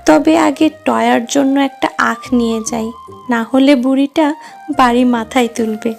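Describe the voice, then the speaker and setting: A woman's voice: high voice, normal speed, vocal fry, darkish tone. A woman voicing a hardworking, worried animal housemaid mother in a children's cartoon, plaintive and outspoken. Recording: music under the voice.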